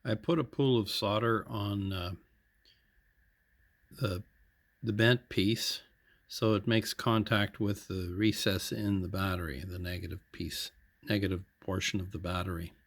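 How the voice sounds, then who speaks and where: A man giving an unscripted how-to narration as a hobbyist, matter-of-fact and hesitant, often pausing mid-sentence. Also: deep voice, steady tone, dry recording. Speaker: hobbyist electronics repair demonstrator.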